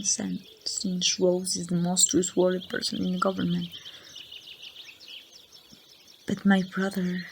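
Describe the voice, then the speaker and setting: A woman playing a grieving young woman saying goodbye to a lost loved one in an ASMR roleplay, speaking softly and haltingly, at times near a whisper. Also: mid-range voice, natural voice. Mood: resigned, sad. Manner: soft, halting, breathy delivery slipping toward whispers. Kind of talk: amateur ASMR roleplay monologue. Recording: outdoor ambience with birdsong, faint noise.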